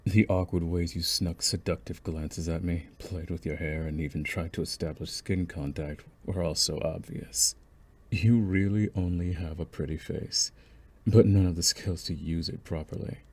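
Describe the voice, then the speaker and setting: A man playing a young mafia heir in an intimate audio roleplay, smooth-spoken and deliberate, with a cunning, condescending streak beneath his courtesy. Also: low voice, steady tone, darkish tone. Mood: mocking, condescending. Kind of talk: intimate scripted audio roleplay, ASMR acting.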